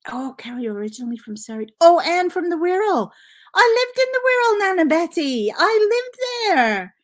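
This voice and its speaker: A middle-aged woman from Liverpool with a Canadian accent and faint Liverpool traces, hosting a casual knitting live stream and chatting excitedly with many fillers. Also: very high voice, moderate pace. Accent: Canadian English with faint Liverpool traces. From Liverpool, England. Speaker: knitting channel host. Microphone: laptop mic.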